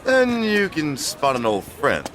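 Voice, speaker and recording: A sly, swooping oily innkeeper. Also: male voice, fairly high pitch, wildly swooping pitch, fairly bright tone, soft background music, full character voice. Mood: wheedling. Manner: insinuating, drawn-out mock courtesy. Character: sly, oily innkeeper neighbour, falsely friendly, grasping man. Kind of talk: dramatic audio drama acting.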